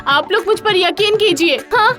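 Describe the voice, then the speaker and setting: A woman's voice: very high-pitched, moderate pace, pushed and bright, faint music behind. A woman playing a naive, complaining, insistent village wife in a cartoon story. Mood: insistent, upset. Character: gullible, chatty, sleepy village wife, insistent.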